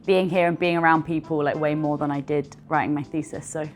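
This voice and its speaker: A woman's voice: low-pitched, steady tone, brisk, soft background music. A young woman who works as a bar manager, talking casually and informally in a documentary interview, often saying "like". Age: young adult. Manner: chatty, informal, peppered with "like". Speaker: bar assistant general manager.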